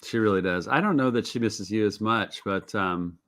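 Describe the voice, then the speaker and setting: A man's voice: lowish voice, moderate pace, a bit bright. A man in his fifties co-hosting a casual podcast, teasing his co-host with dry jokes and reading a sponsor plug. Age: 50s.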